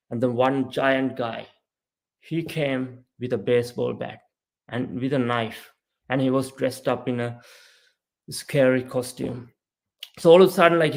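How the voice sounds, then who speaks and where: A man from Sri Lanka in his thirties, a guest on a podcast, telling his story earnestly and often asking "right?". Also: a little high. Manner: earnest, animated, frequent "right?" and "you know". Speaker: podcast guest, mindset coach. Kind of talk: casual storytelling interview.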